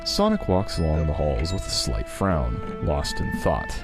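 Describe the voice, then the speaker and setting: A man's voice: rumbling low, sing-song, measured pace. A man acting as narrator, reading a fan fiction script aloud in a dramatic style. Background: background music.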